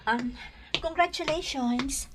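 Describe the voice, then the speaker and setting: Woman playing somber doctor, unhurried, halting, faint noise behind, radio play. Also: sits high. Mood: hesitant, grave. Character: hesitant, somber doctor delivering news. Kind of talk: radio drama acting.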